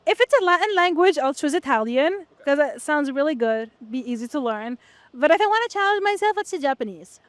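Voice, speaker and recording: A woman's voice: high voice, animated, brisk, no room sound. A woman whose first language is Arabic, a student answering eagerly. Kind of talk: casual interview answers.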